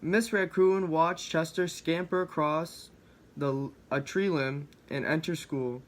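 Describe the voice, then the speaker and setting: Male storybook reader for young children, voice on the higher side. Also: fairly even intonation, slow pace, slight background noise. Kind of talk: children's story read aloud.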